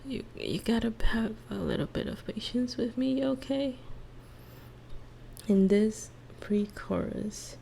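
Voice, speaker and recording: Slow, creaky-voiced woman speaking soft ASMR. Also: fairly high pitch, slight background noise. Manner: hushed, halting, lingering phrases, sometimes dropping into whispers. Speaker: ASMR creator and host. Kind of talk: soft-spoken ASMR role-play monologue with song analysis.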